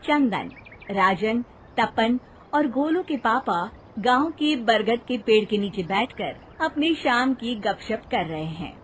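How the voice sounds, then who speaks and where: High-voiced woman narrator over noisy ambience. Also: expressive, slow pace. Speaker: story narrator. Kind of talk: scripted storybook narration for a cartoon. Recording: clearly noisy background ambience.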